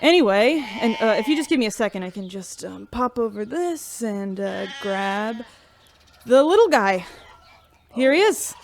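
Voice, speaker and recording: A woman's voice: fairly high pitch, expressive, normal speed. A woman playing a shy, earnest animal keeper on a starship in a sci-fi radio drama, rambling hesitantly. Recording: faint ambient noise, staged barn.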